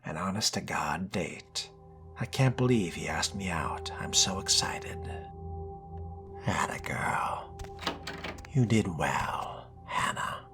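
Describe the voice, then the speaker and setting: A man voicing a brooding, genteel vampire in video game roleplay, breathy and near-whispered, with a measured, ominously polite, old-fashioned manner. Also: low voice, lively intonation, sharp tone, faint music behind, exaggerated voice. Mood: dry reading. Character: brooding, genteel, world-weary male vampire fledgling.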